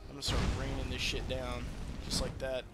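A man's voice: medium pitch, completely flat delivery, fairly quick, loud music behind the voice. A man giving casual, rambling, profane commentary as he hosts a gameplay video. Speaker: gamer hosting a let's play. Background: video game ambience.

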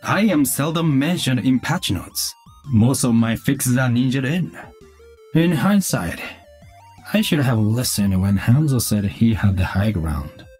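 A calm cyborg ninja from a video game. The voice is breathy and rough-edged at a middle pitch. He delivers dry humour with measured solemnity, over faint music. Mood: dry, earnest. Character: male cyborg ninja, calm, earnest, dryly humorous. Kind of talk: scripted video game character lines.

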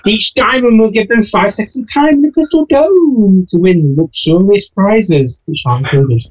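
A woman's voice: medium pitch, lively intonation, normal speed, a little mellow, distant mic, muffled recording. A young woman playing a game-show host in a casual gaming chat, giggly and losing track of the rules.

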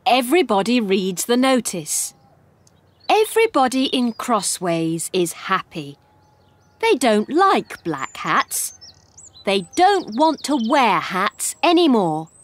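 A woman narrating a simple scripted story for English learners in a deliberate, expressive way. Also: on the high side.

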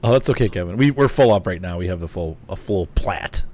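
A middle-aged man chatting casually on a game live stream, easygoing and quick to laugh, reading out viewer messages as he plays. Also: low voice, expressive, a little mellow, a little hiss or hum. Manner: chatty, easygoing, laughs often, reads chat aloud.

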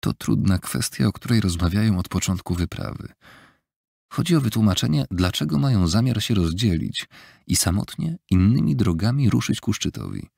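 A man reading an audiobook in a measured, even storytelling manner, recorded on a close studio mic. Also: no room sound. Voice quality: low gravelly husky voice. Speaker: audiobook narrator. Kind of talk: scripted audiobook narration. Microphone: close studio mic, dry.

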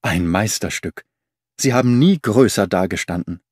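Loyal companion, calm and earnest, a touch lower as the narrator reads him. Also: male voice, moderate pace, breathy edge, no echo, studio quality. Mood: admiring.

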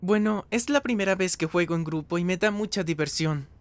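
A woman's voice: fairly low pitch, brisk, no room sound, lightly acted. A woman voicing an innocent, easygoing teenage boy in an anime fan dub, earnest and polite. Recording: music playing behind the voice.

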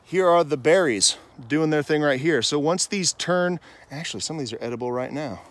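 A man's voice: a little high, a little fast. A man in his thirties on an outdoor nature trail, chatty and enthusiastic as he points out plants, recorded on the camera's mic. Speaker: gardening vlogger. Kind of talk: walking nature vlog. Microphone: handheld camera framing, on-camera mic.

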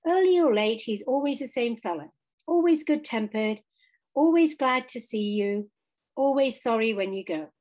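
A woman's voice: a little high, animated, moderate pace, darkish tone, close to an everyday voice, rough recording. Woman reading as a cheerful, chatty water rat. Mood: good-natured. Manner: chatty, emphatic. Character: good-natured, cheerful male water rat, loyal friend.

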